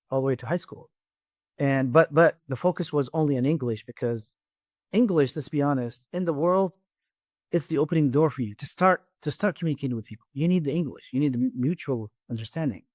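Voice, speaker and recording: Man, podcast guest, halting with many restarts, middle pitch, dry close mic. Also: dry recording. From Iraq. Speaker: guest, leadership author. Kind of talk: podcast interview.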